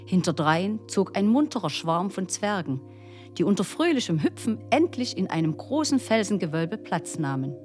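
A woman's voice: mid-range voice, sing-song, moderate pace, darkish tone. A woman narrating a scripted folk tale as a storyteller. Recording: music behind the voice. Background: instrumental music.

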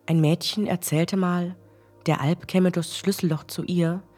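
Woman doing a solemn old legend book reader, slow husky voice, bit of music behind. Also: fairly low pitch, steady tone, darkish tone. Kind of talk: formal read-aloud in a children's radio play.

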